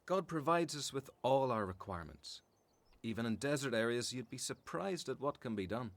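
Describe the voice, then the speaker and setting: A man's voice: mid-range voice, expressive, moderate pace, close to an everyday voice. A man playing a devout, helpful member of a desert community, explaining sincerely in a radio drama. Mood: patient, informative. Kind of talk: religious radio drama acting.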